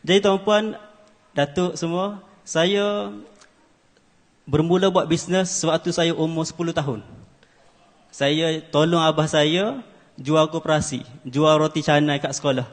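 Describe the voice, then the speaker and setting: Young guy giving an earnest award speech, slightly high voice, lots of uhs, long hall echo. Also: fairly even intonation, a little slow, long pauses. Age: 20s. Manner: earnest, many hesitant fillers. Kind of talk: formal stage speech. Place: large ceremony hall. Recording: hall reverb.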